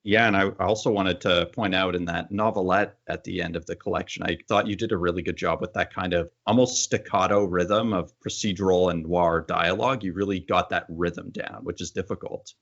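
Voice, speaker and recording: A man interviewing an author, asking thoughtful, measured questions. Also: low-pitched, fairly even intonation, gravelly, a bit bright, highs cut off. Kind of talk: casual interview conversation.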